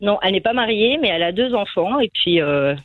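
A woman's voice: medium pitch, lively intonation, a little fast, no room sound, phone-quality sound. A woman calling in to a radio show, giving brief, hesitant, agreeable answers over the phone. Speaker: caller. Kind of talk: phone-in conversation.

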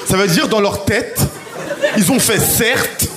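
A man's voice: high voice, takes it slowly, over a noisy crowd, heavy background noise. A man in his thirties with a Paris-area French accent performing a self-deprecating stand-up routine straight to a live audience. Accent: French, Paris region. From Paris suburbs, France. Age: 30s. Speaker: stand-up comedian. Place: live comedy stage. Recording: live stage show with audience laughter. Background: laughing audience.

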